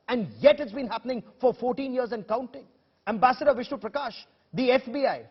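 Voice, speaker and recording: A man's voice: extremely high pitch, slightly rough, big-room sound, highs cut off. A man with an Indian accent hosting a broadcast debate, putting emphatic, combative questions and repeating phrases for effect. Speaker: news debate host.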